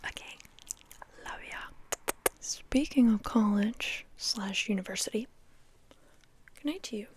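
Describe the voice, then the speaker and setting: A woman's voice: mid-range voice, measured pace, pauses often. A young woman whispering close to the mic in a playful, chatty ASMR sleep talk, often repeating words. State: dry mouth. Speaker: ASMR host.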